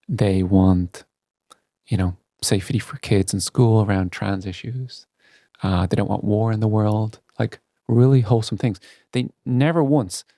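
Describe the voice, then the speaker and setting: A man's voice: lowish voice, gravelly, warm tone, no echo, studio quality. A man speaking as a CEO guest in a candid podcast interview, earnest and emphatic about his opinions.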